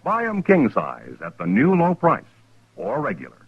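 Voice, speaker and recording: A man's voice: mid-range voice, wildly swooping pitch, normal speed, slightly rough. A man announcing a 1950s radio cigarette commercial with a polished, emphatic sales delivery. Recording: dull, muffled old radio recording.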